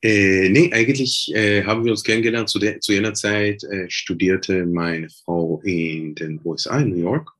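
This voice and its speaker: Low husky man, hesitant. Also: fairly even intonation, moderate pace, few pauses, a bit bright. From Israel. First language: Hebrew. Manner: hesitant, many filler sounds and restarts. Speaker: guest. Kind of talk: casual interview talk.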